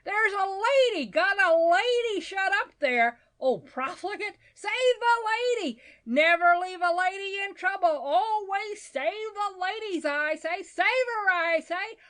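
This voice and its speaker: Woman playing a high, blustering, frantic gentleman orator. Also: big pitch swings, takes it slowly, few pauses, exaggerated voice. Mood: outraged urging. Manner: pompous, frantic, repetitive exclamations. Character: excitable, blustering, gallant gentleman shouting orations. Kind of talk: dramatized storybook reading.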